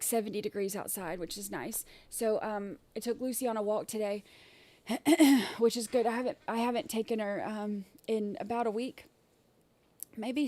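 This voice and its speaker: A woman hosting a casual livestream, her throat scratchy and irritated, clearing it often and rambling with many fillers and restarts. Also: mid-range voice, dark tone. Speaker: livestream host.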